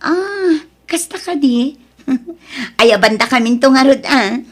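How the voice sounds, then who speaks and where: A woman's voice: sits high, expressive, takes it slowly, full character voice. A woman playing a friendly, curious married mother in an Ilocano-accented audio drama. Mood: curious, chatty.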